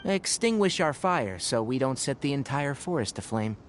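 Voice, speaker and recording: An actor playing a calm, methodical expedition leader in an anime video game, speaking in a measured, formal way. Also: male voice, medium pitch, background noise, natural voice. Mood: composed.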